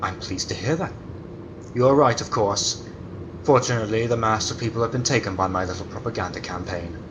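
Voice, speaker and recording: An actor playing a coolly polite, menacing master criminal in a 1940s-style radio drama, with formal, measured, mock-courteous phrasing. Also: male voice, fairly low pitch, noisy background, lightly acted. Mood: smug, controlled.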